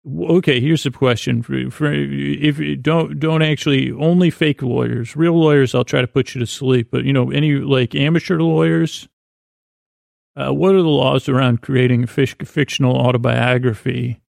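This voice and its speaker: A man hosting a sleep podcast with a meandering, halting, whimsical bedtime monologue in a gravelly, creaky voice. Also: mid-range voice, fairly even intonation, darkish tone, dry recording, studio quality. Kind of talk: rambling improvised bedtime monologue.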